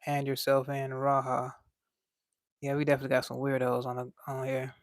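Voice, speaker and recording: A man's voice: mid-range voice, completely flat delivery, fairly quick, no room sound, studio quality. A young man with a light voice, chatting casually on a livestream and reading out chat in a laid-back, slangy way.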